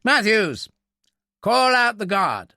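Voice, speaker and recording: A man's voice: sits high, wildly swooping pitch, slow pace, sharp tone, no room sound, studio quality, pitched above the performer's own voice, heavily acted. A man voicing a blustering, indignant military officer in an audiobook, with a pompous, sneering, emphatic manner. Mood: sullen bluster.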